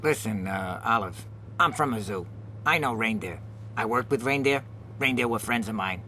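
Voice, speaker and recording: A smug zoo animal from a cartoon. He is dismissive and deliberate, with a fairly low, forceful voice and a slight hum behind it. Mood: condescending. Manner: deliberate, condescending.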